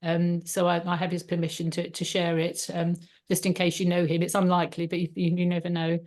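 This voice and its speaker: Middle-aged British woman, brisk chatty presenter, lowish even voice, video call. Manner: brisk, chatty, many ums and restarts.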